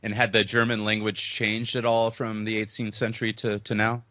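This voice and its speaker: Bright-voiced male radio host. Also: on the low side, monotone, normal speed, dry recording, lo-fi sound. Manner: casual, repeats words when asking questions. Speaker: radio show host, interviewer. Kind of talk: radio interview.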